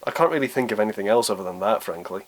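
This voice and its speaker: A man's voice: fairly low pitch, animated, very fast, over music. A man in his twenties chatting casually to camera as he answers questions, with a wry, self-deprecating manner. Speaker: YouTube host answering viewer questions.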